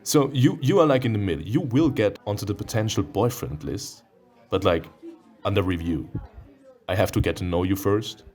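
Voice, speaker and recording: A man's voice: on the low side, moderate pace, a little mellow. A man hosting a gaming video in a playful, theatrical way, switching between reading visual novel text aloud and commenting on it. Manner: playful, theatrical, prone to sudden outbursts.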